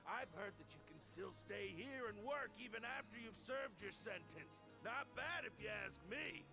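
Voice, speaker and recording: A man's voice: high-pitched, expressive, normal speed, gravelly, music in the background. An actor voicing a salty, scrappy male convict in a video game, blustering indignantly with slangy insults.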